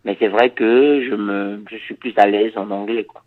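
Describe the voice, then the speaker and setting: Man, first language Dutch, from Suriname, deep voice, hesitant repeats, tinny narrow recording. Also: steady tone. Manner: halting, repeats words, thoughtful. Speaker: reggae musician. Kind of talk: interview answers.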